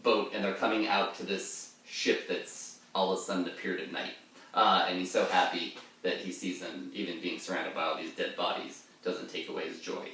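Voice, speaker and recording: A man's voice: sits low, a bit bright. A man giving an informal, rambling line-by-line explanation of a poem in a casual way. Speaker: reader and commentator of poetry. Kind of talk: informal line-by-line poem commentary with read-aloud verse. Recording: very echoey room, far from mic.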